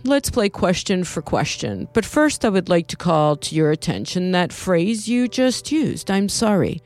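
A woman doing a serene neighbor with a mild accent. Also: mid-range voice. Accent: mild unplaced foreign accent. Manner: calm, unhurried, gentle.

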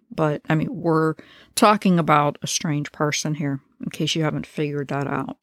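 A woman narrates a true crime story in a low, relaxed register. Her delivery is conversational and wry, full of offhand asides. The recording is dry and close, with no room sound. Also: no echo. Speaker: true crime podcast host.

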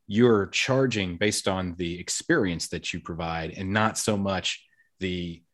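A man's voice: deep voice, slow pace, a bit bright. A man hosting a casual podcast interview, talkative and upbeat, with self-deprecating jokes. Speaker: podcast host.

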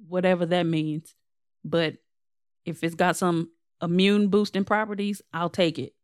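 A young woman, slightly hoarse, hosting her own podcast in a casual, candid and self-deprecating way. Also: fairly low pitch, no echo, studio quality. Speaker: podcast host. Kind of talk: casual solo podcast update.

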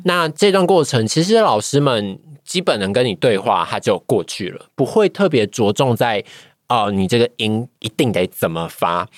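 A woman's voice: a bass voice, big pitch swings, normal speed, dry recording, studio quality. A woman with a Taiwanese Mandarin accent, a podcast guest giving a casual personal account and often searching for words. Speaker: podcast guest, voice acting trainee.

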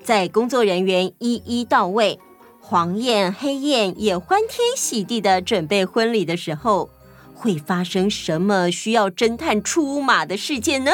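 一位女性为儿童故事做旁白，按稿讲述。. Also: female voice, medium pitch, very animated, a little slow. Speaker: children's story narrator. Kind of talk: scripted children's story narration. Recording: music behind the voice.

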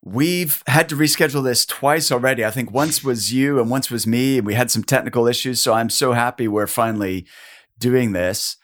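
A man hosting a podcast interview, upbeat and keen, his voice a little husky from a cold. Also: medium pitch, normal speed. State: has a cold, a bit husky. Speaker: podcast host.

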